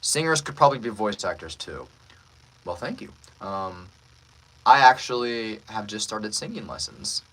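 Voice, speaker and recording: Guy voice actor chatting with his stream, husky and bright, reads comments out, kinda self-deprecating. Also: on the low side, lively intonation, normal speed, poor audio. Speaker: voice actor, livestream host. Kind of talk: casual chat, answering live viewer comments.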